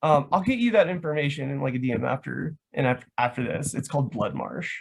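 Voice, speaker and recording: A man's voice: mid-range voice, animated, a little fast, vocal fry, husky. An American man from Florida explaining things in a casual, rambling way, often padding with "like". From Florida, United States. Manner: rambling, filler-heavy with frequent "like". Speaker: guest, live action roleplay organizer.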